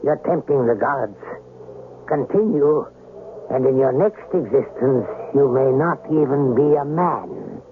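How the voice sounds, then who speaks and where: An actor playing a loyal servant in a 1970s radio drama, grave and formal, with a moralizing, declamatory manner. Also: male voice, mid-range voice, lively intonation, unhurried, natural voice. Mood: stern rebuke. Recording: phone-like noisy vintage radio.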